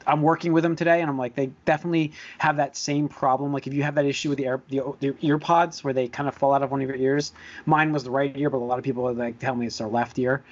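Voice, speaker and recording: A man's voice: medium pitch, fairly even intonation, fast talker, dull audio. A man hosting a podcast in casual chat, rambling and easily sidetracked as he talks. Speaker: podcast host.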